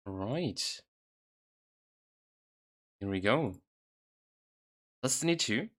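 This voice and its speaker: A man's voice: mid-range voice, fairly bright tone, slightly rough. A man livestreaming casually, thinking aloud in a hesitant, loose way. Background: background music.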